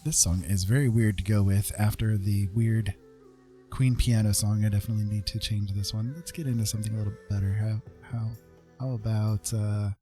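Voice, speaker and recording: A man's voice: on the low side, fairly even intonation, a little fast, dark tone. A man chatting casually and laid-back on a livestream, thinking aloud as he paints. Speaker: streamer, digital painter. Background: piano music.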